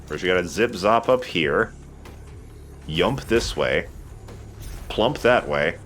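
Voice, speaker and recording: Low-voiced man doing casual gameplay commentary. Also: expressive, slow pace, fairly bright tone, a little hiss or hum. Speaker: let's play host.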